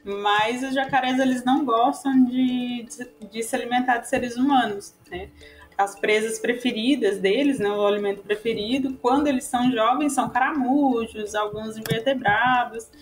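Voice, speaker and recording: A woman's voice: a little high, fairly even intonation, moderate pace, soft background music. A woman explaining in an interview, who often adds a questioning tag. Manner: explanatory, frequent "né".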